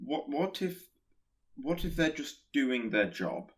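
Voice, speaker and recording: A man's voice: medium pitch, big pitch swings, slow pace, slightly rough, heavily acted. An actor in a radio play playing a nervous, awkward Pestilence who hesitates and stammers as he secretly admires catastrophe. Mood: nervous, evasive.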